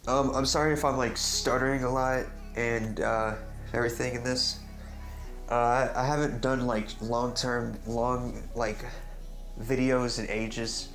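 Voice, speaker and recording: A man's voice: medium pitch, little pitch movement, unhurried, fairly bright tone. A young man giving casual, unscripted commentary on a game theory, stuttering often, restarting sentences and tagging points with "right?". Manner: rambling, keeps asking "right?". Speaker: YouTuber discussing game theories. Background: music in the background.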